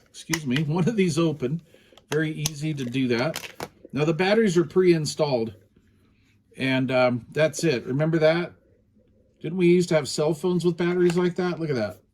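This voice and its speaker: A middle-aged man reviewing a small two-way radio in a relaxed, rambling way, with lots of fillers. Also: on the high side, a little hiss or hum. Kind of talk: casual product review.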